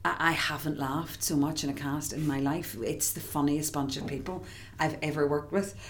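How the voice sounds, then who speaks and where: Irish woman, low-pitched, chatty, excitable, faint background hum. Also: moderate pace. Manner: chatty, excitable, many restarts. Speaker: musical theatre actress, guest. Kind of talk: casual interview chat.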